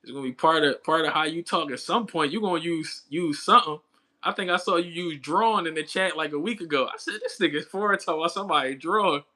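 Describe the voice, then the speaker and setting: Laid-back man in his thirties, bright voice. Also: fairly high pitch, quick pace. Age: 30s. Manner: laid-back slangy talk, answering chat, laughing often. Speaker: livestream boxing commentator. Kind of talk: casual chat with live chat viewers.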